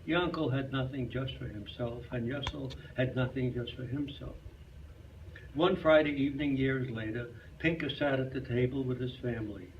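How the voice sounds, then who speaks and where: A man's voice: mid-range voice, normal speed, lo-fi sound. Male voice, live story reading, distant microphone, faint background noise. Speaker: reader in a reader's theater. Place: clubhouse hall, live performance.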